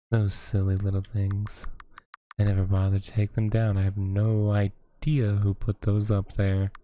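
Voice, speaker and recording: A man voicing a lonely, motherly witch woman who lives alone in the woods, speaking gently and hesitantly with sighs. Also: lowish voice, completely flat delivery, takes it slowly, slight background noise. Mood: gentle. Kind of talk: audio roleplay acting.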